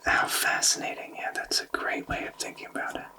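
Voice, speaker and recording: A man softly whispering a tarot card reading in a thoughtful, explanatory way, with hesitant phrasing. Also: fairly quick, recorded from a distance. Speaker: tarot reader.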